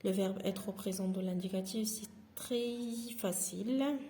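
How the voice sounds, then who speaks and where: A woman with a Moroccan accent who speaks Moroccan Arabic natively, teaching French slowly with hesitant fillers and restarts. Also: medium pitch, warm tone, a little hiss or hum. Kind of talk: slow classroom explanation.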